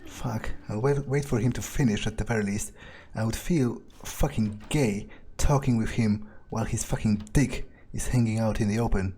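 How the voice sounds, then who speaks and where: A man's voice: lowish voice, normal speed, hardly any pauses, mellow, slight background noise. A man playing a video game in character, muttering his thoughts aloud in a sardonic, foul-mouthed way.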